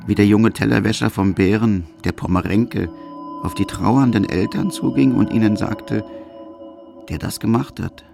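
A man's voice: on the low side, moderate pace, creaky, music in the background. A man narrating a scripted radio documentary in short, clipped sentences.

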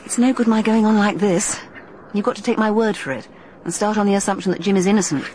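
An actress playing a bold, shrewd young woman in a radio drama, talking briskly and persuasively with plenty of self-assurance. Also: medium pitch, a little mellow, close to an everyday voice. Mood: determined. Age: young adult. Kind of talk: 1980s radio drama acting. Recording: radio play with faint hiss.

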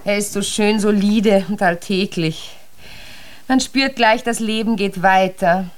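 An actress playing a composed, imperious middle-aged widow in a German radio drama, speaking in a genteel, pointed manner. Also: female voice, mid-range voice, steady tone, a little slow, natural voice. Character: composed, sharp-tongued middle-aged widow running the family shop.

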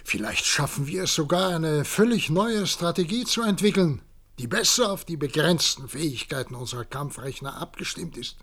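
A stern male head of government in a science-fiction radio play. His voice sits a little high. He speaks in formal, emphatic, oratorical sentences with firm resolve. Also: lively intonation, normal speed, a bit bright. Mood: formal, resolute.

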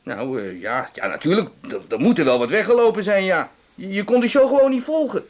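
High-pitched, flustered, stammering cinema owner. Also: male voice, big pitch swings. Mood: agitated. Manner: stammering, halting, repeating words. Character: flustered, indignant cinema owner, grumbling and self-pitying. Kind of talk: radio drama acting.

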